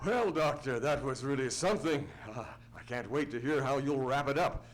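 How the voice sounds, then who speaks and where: A man plays a genial announcer on an old-time radio show. His middle-pitched voice is chatty and folksy, with the assured ease of a sponsor's salesman. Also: normal speed, over music, lightly acted, low-quality recording. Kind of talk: 1940s radio sponsor spot. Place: theatre stage, live radio play.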